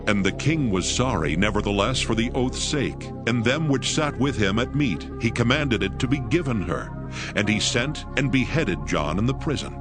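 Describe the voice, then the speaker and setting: A man narrating scripture in a measured, solemn, scripted style. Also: medium pitch, animated. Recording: music bed behind voice. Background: music.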